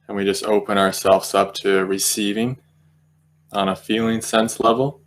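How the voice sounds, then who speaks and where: A man leading an unscripted guided meditation, speaking gently and slowly, with long pauses as he feels his way through each thought. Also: on the low side, level tone, a little hiss or hum.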